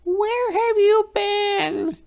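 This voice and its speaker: A storybook reader voicing a young, excitable, eager minnow fish that exclaims and asks impatient questions. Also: extremely high pitch, very slow, voice pushed up, exaggerated voice. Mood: excited, impatient. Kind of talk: children's storybook character voice.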